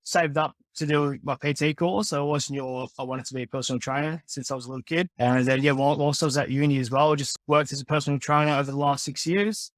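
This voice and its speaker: A young man with a slightly high voice talks about his work in an easygoing, friendly way, often stopping to say "yeah". His voice sounds close and dry, with no room echo. He comes across as a guest on an interview show. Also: fast, hardly any pauses. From born in the Philippines, raised in Australia. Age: 20s. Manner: relaxed, friendly, many yeahs and restarts. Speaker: guest, personal trainer and osteopath. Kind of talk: casual interview answers.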